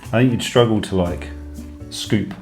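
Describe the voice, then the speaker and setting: Low-voiced man, chatty snack taster. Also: a little slow, a little mellow. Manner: chatty, hesitant, with lots of ums. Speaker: co-host. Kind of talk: casual snack-tasting chat. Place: home room. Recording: echoey room with background music.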